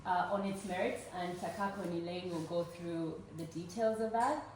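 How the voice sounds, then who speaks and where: A woman's voice: on the low side, steady tone, moderate pace. Ugandan-born woman speaking in an echoey hall. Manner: earnest and warm, with frequent "um" fillers. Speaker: speaker at a fundraising event. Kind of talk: personal story told to a live audience. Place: event room with a live audience. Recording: roomy echo, voice from far off, faint noise.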